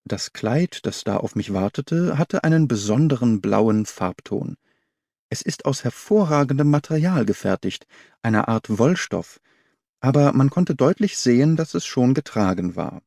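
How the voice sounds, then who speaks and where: A man narrates a literary story. His medium-pitched voice moves with animated intonation while the phrasing stays measured and clear. The sound is dry, with hardly any room on it. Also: no room sound. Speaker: audiobook narrator. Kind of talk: scripted literary narration, audiobook reading.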